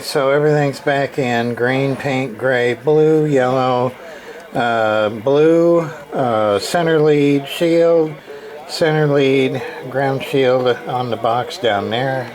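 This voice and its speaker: A man's voice: medium pitch, takes it slowly, rough recording. A man casually narrating hands-on repair work as a hobbyist, plain-spoken and muttering a little as he works. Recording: clear background noise.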